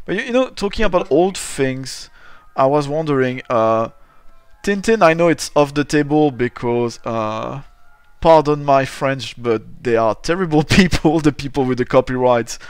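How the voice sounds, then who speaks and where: A man's voice: mid-range voice, animated, normal speed, clean tone, dry recording, faint music behind. A middle-aged man from Belgium, hosting a casual podcast interview, chatty and rambling with many restarts. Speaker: podcast host.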